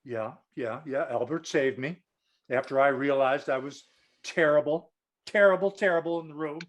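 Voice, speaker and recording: A sour, self-deprecating male screenwriter, acted at a table read. He grumbles bluntly at a middling pitch in an everyday comedy delivery. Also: normal speed, close to an everyday voice.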